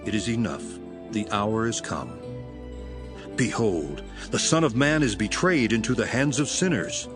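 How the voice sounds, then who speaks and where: A man playing a solemn, authoritative, sorrowful teacher in a dramatized scripture reading, declaiming in a measured way. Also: on the low side, animated, over music. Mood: weary, resolute.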